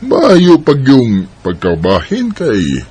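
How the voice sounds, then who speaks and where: A man playing an easygoing husband and father of a big family in a radio drama, husky, with a Filipino Bisaya accent. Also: mid-range voice, wildly swooping pitch, takes it slowly, warm tone, slight background noise. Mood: fond, reflective.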